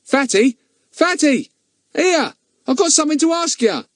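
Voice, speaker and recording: Man as an excitable, high-pitched young schoolboy. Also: measured pace, no echo, studio quality, full character voice. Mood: puzzled, urgent. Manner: eager, puzzled exclaiming.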